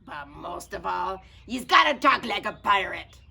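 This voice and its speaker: A woman voicing a bossy male pirate captain from a storybook, hollering in drawn-out pirate talk. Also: mid-range voice, animated, creaky, sharp tone, slightly rough, full character voice. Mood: commanding.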